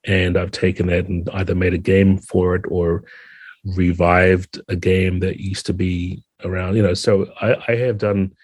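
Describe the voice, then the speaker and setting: A man's voice: deep voice, flat delivery, a little slow, a little mellow, slightly rough, no echo. A man talking conversationally as a guest game designer on a podcast, earnest and thoughtful.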